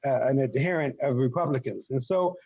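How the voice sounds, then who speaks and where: A man from Ethiopia explaining his views as an interview guest, haltingly repeating words as he builds formal phrases. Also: mid-range voice, mellow, breathy edge, dry recording, dull audio. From born in Ethiopia. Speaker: interview guest, article writer. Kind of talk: thoughtful conversational explanation.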